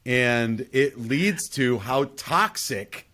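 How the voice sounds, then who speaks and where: A man's voice: medium pitch, expressive, drawn-out words, sharp tone. A man hosting a casual film discussion, giving emphatic opinions and repeating his points. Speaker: film podcast host.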